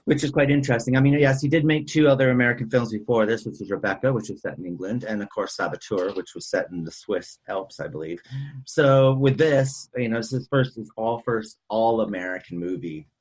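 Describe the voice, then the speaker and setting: Husky-voiced man hosting a film chat. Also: on the low side, brisk, darkish tone, low-quality recording. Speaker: podcast host. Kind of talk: casual film discussion.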